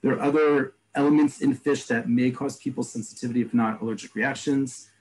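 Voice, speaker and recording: A man teaching an online class, explaining terms and repeating each word slowly. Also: medium pitch, steady tone, darkish tone, husky, low-quality recording. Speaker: Hebrew teacher. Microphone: video call.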